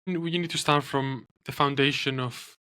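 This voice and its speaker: A man hosting a podcast, speaking in a measured, slightly halting way with fillers. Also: mid-range voice, steady tone, sharp tone, smooth, no room sound. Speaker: podcast host. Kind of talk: casual podcast chat.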